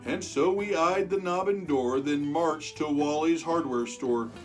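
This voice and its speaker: A storyteller reading a rhyming picture book aloud to children in an expressive way, stretching words for emphasis and chatting with young listeners. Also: medium pitch, a little slow, faint music behind, low-quality recording. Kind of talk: animated children's read-aloud of rhyming verse.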